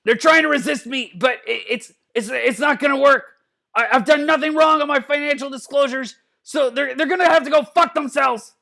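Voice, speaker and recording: A man voicing a belligerent, drunken old mad scientist in a cartoon-style comedic reading, gravelly and stammering, repeating himself and cursing. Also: very high voice, a little fast, sharp tone, heavily acted. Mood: defiant. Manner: stammering, repeating words, profane.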